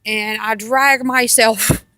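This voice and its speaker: High-voiced woman telling rambling stories slowly. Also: fairly even intonation, sharp tone, dry recording, studio quality. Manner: halting, meandering, repeats herself. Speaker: storyteller on own video channel. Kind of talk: casual rambling personal storytelling.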